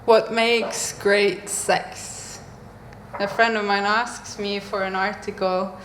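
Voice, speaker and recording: A young woman from Norway, performing spoken-word poetry on a mic at a live venue, with slow, deliberate pauses. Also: medium pitch, level tone, a bit bright, slight background noise. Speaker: poet. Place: live event venue, indoors. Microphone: stage microphone. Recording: echoey room, faint ambience.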